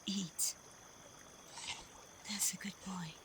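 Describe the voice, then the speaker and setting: A woman playing a caring, protective, frank female werewolf pack leader in a soft, breathy, reassuring ASMR roleplay. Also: mid-range voice, steady tone, very slow, background noise. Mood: caring, coaxing.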